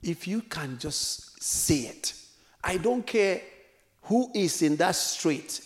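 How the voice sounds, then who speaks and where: A high-pitched, very animated male preacher from Africa. His voice swoops from near whispers up to loud, bright exclamations, and he laughs often as he tells stories. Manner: laughs while telling a story. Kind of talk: sermon.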